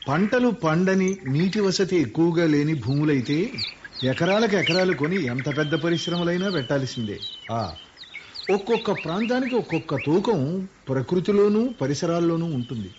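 A man's voice: a little high, expressive, moderate pace, lightly acted. An actor playing Raghava, a thoughtful middle-aged man who speaks earnestly about keeping farmland green. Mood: reflective. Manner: earnest, reflective. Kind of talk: radio play acting. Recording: dull lo-fi radio recording with faint hiss.

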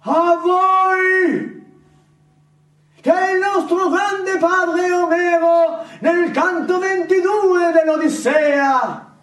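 A man with a Sicilian accent declaiming a theatrical monologue loudly and emphatically, as a solo stage storyteller. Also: very high-pitched. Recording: roomy echoing stage, distant microphone.

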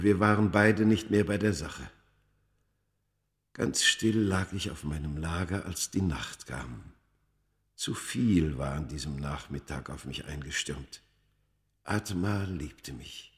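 A man's voice: low voice, exaggerated voice. An actor playing a tormented undying man who recounts his cursed past in grave, literary radio-drama narration. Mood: brooding. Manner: grave, deliberate, literary storytelling.

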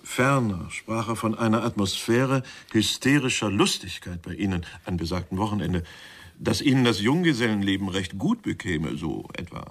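Probing police inspector, husky low voice, measured and insinuating, dryly polite, radio crime drama. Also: male voice, animated.

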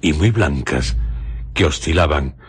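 A man with an accent from Spain narrating a radio drama in a measured, solemn way, phrase by phrase. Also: rumbling low, lively intonation, slightly rough, no echo. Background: prominent music.

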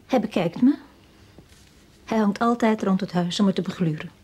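Husky, anxious wealthy widow. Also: female voice, medium pitch, normal speed, a little mellow. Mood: fearful. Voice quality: breathy, husky. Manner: earnest, anxious, insistent. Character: frightened, guilt-ridden wealthy widow. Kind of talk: radio drama acting.

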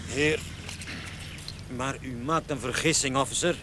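A man's voice: medium pitch, fairly even intonation, unhurried, noisy background, natural voice. An actor playing an indignant, flustered salesman of about thirty who stammers and protests under suspicion in a radio drama. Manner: protesting, stammering when pressed. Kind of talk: Dutch-language radio drama acting.

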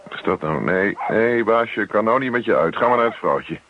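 An actor playing a put-upon, evasive husband in a radio play, muttering, grumbling and placating. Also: male voice, on the low side, lively intonation, moderate pace, background noise. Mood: grumbling to himself. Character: put-upon evasive husband who just wants peace and his newspaper. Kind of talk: Dutch radio play acting. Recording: dull lo-fi old radio recording.

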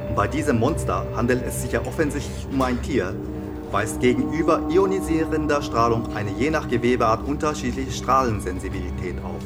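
A man's voice: medium pitch, animated, brisk, natural voice. Actor as factual male nuclear expert over music. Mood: sober, explanatory. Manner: factual, lecturing, precise. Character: sober Japanese nuclear expert explaining radiation effects. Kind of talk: radio play imitating a news expert interview.

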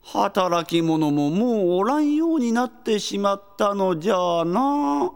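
A comically dignified old ruler of a castle, speaking slowly in old-fashioned, hesitant phrases. The pitch swings expressively despite the weariness. A long hall reverb surrounds the voice. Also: expressive, clear, resonant tone. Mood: resigned, explaining. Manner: old-fashioned elderly speech, drawn-out and hesitant. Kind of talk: Japanese comedic audio drama acting.

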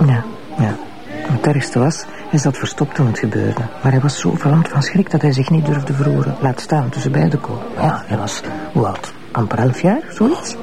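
An actress playing the tipsy, teasing and confiding wife of a police commissioner, with a Flemish accent, in a television crime drama. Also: female voice, extremely low pitch, normal speed, creaky, over music, low-quality recording. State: slightly tipsy.

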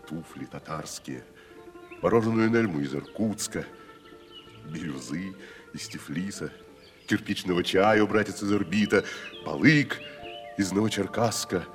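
A proud, down-at-heel tragedian with a very low voice that swells and drops theatrically. He declaims slowly and pompously, savouring his pauses. It is a 1970s radio play, ringing with long reverb. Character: proud shabby wandering tragedian actor, grandly theatrical. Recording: reverberant radio drama with faint music.

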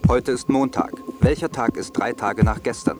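A man's voice: medium pitch, normal speed, over loud music. A man reading out scripted test questions and answer options as a quiz reader.

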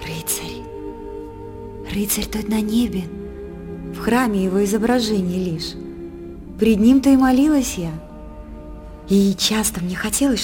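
An actress playing a young, devout and dreamy princess in a radio drama, speaking in a heartfelt, formal theatrical way. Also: female voice, medium pitch. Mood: dreamy, tender. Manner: heartfelt, declamatory, formal verse-like phrasing. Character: young princess daughter, devout, dreamy, earnest yet proud.

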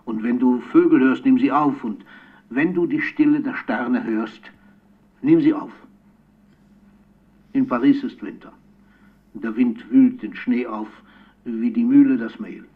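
Through a thin, tinny tape playback comes an elderly poet, husky and unhurried. He speaks a lyrical, melancholic radio-play letter, lingering tenderly over his words and leaving pauses. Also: medium pitch. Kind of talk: radio drama monologue as spoken letter.

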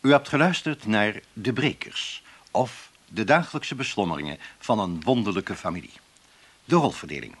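A man's voice: fairly low pitch, lively intonation, moderate pace, breathy edge. A man reading scripted closing credits as a radio announcer.

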